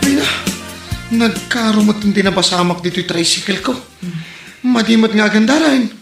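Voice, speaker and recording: A man's voice: sits high, moderate pace, creaky, music in the background. A man playing an exasperated, sly husband in a comedy drama, with an Ilocano accent. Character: adult husband, exasperated, sly, whiny, comic. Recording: echoey room.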